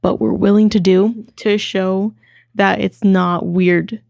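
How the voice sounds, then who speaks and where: Halting young woman podcast co-host. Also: mid-range voice, little pitch movement, vocal fry, a little mellow, no echo, clean studio sound. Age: young adult. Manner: halting, with stumbles and restarts. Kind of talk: casual podcast chat.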